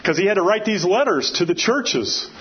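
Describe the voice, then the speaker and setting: A man with a loud, carrying voice teaching scripture as a preacher in an informal, conversational and emphatic way, often posing questions to his listeners. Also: high voice, sing-song, moderate pace. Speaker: preacher teaching a Bible study. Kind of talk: informal sermon with scripture readings and personal asides. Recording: dull lo-fi sound, clear background noise.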